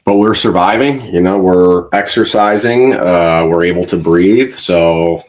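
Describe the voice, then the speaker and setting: A man's voice: low-pitched, measured pace, a little mellow. A man co-hosting a podcast in easygoing casual chat, still congested. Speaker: podcast co-host.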